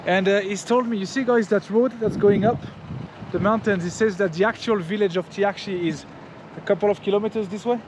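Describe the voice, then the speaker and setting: A man's voice: high-pitched, fairly even intonation, brisk, noisy background. A man in his thirties hosting a casual travel vlog, chatting with locals and the camera outdoors and in a small restaurant. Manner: friendly, chatty, many fillers and "guys" to camera. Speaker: motovlogger host. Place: outdoors in a mountain village and a small roadside restaurant.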